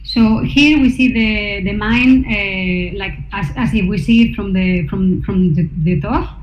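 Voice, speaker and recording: A woman's voice: mid-range voice, unhurried. Woman talking through her slides, lots of uhs and restarts, roomy echo, kinda dull muffled sound. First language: not Norwegian. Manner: hesitant, many fillers and restarts. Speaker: artist presenting research. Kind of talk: slide presentation talk. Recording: echoey room, dull sound, faint noise.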